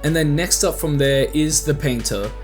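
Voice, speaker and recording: A man's voice: on the high side, moderate pace. A man hosting a casual scripted commentary video, talking conversationally with jokey asides. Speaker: video host. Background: background music.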